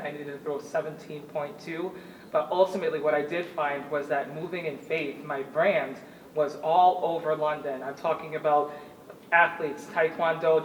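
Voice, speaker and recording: A woman in her thirties from New York, telling her life story in an earnest, animated way as an interview guest. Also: deep voice, a little hiss or hum. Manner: animated, laughs easily, earnest. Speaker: guest, former Olympic shot putter. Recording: roomy, distant mic, faint ambience.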